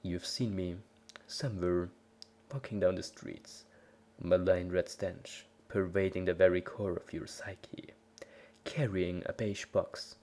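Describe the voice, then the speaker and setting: Guy doing over the top dramatic story reading, lowish voice, really slow, super theatrical. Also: steady tone, a little hiss or hum. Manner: theatrical, bombastic, swinging from whispers to shouts. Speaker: amateur reader of fan fiction.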